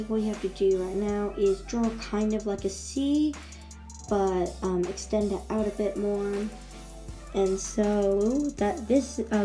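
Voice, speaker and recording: A woman with a croaky, fading voice talking viewers through a drawing tutorial, chatty and repeating her steps. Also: medium pitch, mellow. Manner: chatty, repeats instructions with fillers. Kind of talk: casual step-by-step drawing tutorial.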